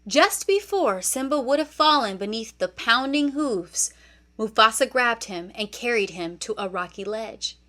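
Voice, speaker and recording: A woman narrating a children's read-along storybook in an expressive, deliberate storytelling manner. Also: fairly high pitch.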